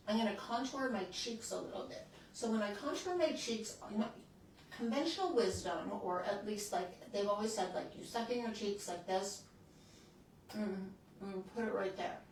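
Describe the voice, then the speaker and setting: A woman's voice: mid-range voice, far from the mic. A woman in her sixties walks through her makeup routine in a loose, chatty way, often breaking off mid-sentence as she works. Her voice sits back from the mic in a strongly echoing room, with a faint hiss underneath. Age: 60s. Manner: chatty, restarts sentences, talks while applying makeup. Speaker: beauty channel host. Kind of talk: casual makeup tutorial.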